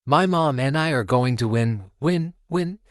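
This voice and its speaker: A smug young cat rival from a storybook, acted by a man, boastful and taunting. The sneering delivery swings widely in pitch and takes its time. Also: animated, measured pace, dry recording, studio quality, close to an everyday voice. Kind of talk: children's storybook read-aloud.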